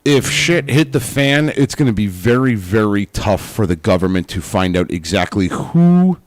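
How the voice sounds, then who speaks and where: A man's voice: fairly low pitch, very animated, moderate pace, no room sound. A man co-hosting a casual podcast chat, talking bluntly and emphatically and swearing freely. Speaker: podcast co-host.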